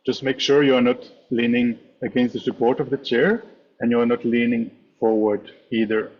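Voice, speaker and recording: A man leading a guided meditation as a teacher, speaking in measured, instructive short phrases with pauses. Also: mid-range voice, fairly even intonation, highs cut off, a little hiss or hum. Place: online meditation session over video call.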